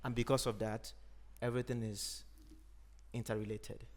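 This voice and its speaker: A man from Nigeria, an Igbo speaker, talking in a thoughtful, unhurried way as a podcast host. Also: medium pitch, level tone. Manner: thoughtful, unhurried, frequent "you know". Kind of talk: reflective conversation.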